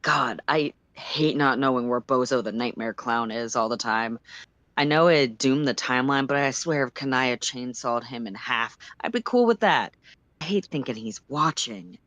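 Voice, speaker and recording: Narrator playing teenage boy, anxious, sarcastic, muttering, rambling, lively swings in pitch, close dry sound. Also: moderate pace, no echo, natural voice. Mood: uneasy. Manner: muttering, self-interrupting, sardonic.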